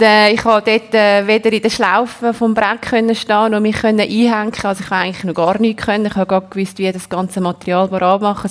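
A woman with a Swiss German accent, a windsurfer, chatting lively about how she learned the sport. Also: medium pitch. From Switzerland. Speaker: windsurfer, guest. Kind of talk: casual storytelling.

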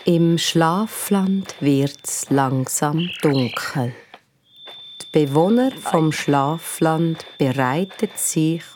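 Very slow, low-voiced bedtime storyteller. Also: female voice, darkish tone, slight background noise. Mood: soothing, drowsy. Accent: Swiss German. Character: soothing, hypnotic bedtime story narrator. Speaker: narrator. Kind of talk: scripted sleep-story narration.

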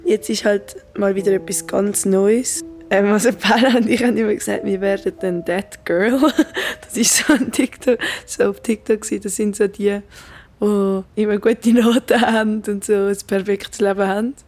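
A woman's voice: mid-range voice, fairly even intonation, normal speed, darkish tone. A teenage girl chatting casually in a youthful, slangy way. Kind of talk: casual interview chat. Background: guitar music.

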